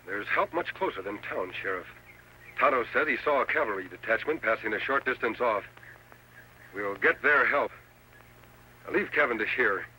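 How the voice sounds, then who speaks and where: An American actor playing a steady, commanding masked cowboy hero in an old-time radio western. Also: male voice, medium pitch, moderate pace, long pauses, slight background noise, poor audio. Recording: old radio broadcast with music behind.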